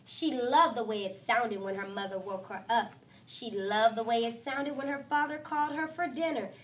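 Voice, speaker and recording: A woman teacher reading a picture book aloud to her class, with lively, expressive storytelling. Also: moderate pace, recorded from a distance. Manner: expressive storytelling, voices quotes higher and louder.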